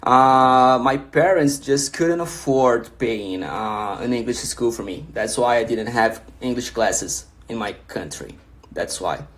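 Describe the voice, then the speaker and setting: A man's voice: mid-range voice, expressive, slow pace. A young man from Brazil with a Brazilian Portuguese accent, a native Portuguese speaker, hosting a casual livestream where he reads viewer questions aloud and answers them encouragingly, often restarting his sentences.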